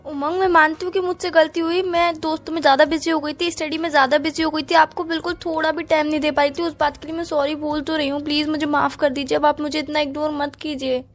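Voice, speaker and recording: A woman's voice: high voice, level tone, fast talker, few pauses. A woman with an Indian accent playing a hurt, apologetic young student girlfriend, pleading in a phone-call romance drama. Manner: pleading, plaintive.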